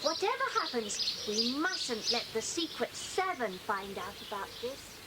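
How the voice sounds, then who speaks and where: A woman's voice: fairly high pitch, very animated, unhurried. A woman playing a bossy, mischievous schoolgirl in a children's audio drama, boastful and conspiratorial, on an old cassette recording. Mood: mock solemn. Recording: noisy old cassette transfer.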